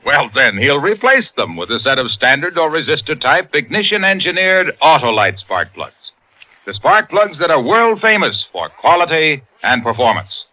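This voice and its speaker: A bouncy, folksy cowboy salesman from 1950s radio advertising. His voice sits fairly high and swings widely in pitch. The sound is the muffled, narrow tone of an old broadcast. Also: big pitch swings, normal speed, pushed and bright, heavily acted. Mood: upbeat selling. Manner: bouncy, exclamatory salesman delivery. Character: excitable folksy cowboy pitchman named Harlow. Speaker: commercial pitchman.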